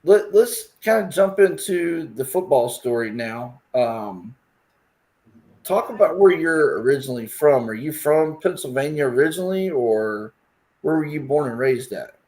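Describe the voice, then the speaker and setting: A man's voice: fairly high pitch, lively intonation, unhurried, a little mellow. A man hosting a sports scouting interview, asking questions in an easygoing way and acknowledging answers with "okay".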